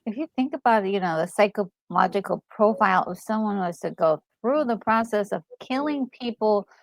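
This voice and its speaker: A woman co-hosting a gaming discussion, talking casually and enthusiastically in a halting, emphatic way over music. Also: mid-range voice, lively intonation, no room sound, rough recording. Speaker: gaming channel co-host. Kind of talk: casual commentary on a game story. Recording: music playing behind a close, dry voice.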